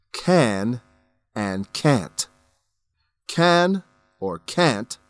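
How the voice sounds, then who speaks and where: A man hosting an English lesson, reading scripted explanations with careful, deliberate enunciation. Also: mid-range voice, a bit bright, no room sound. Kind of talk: scripted educational narration.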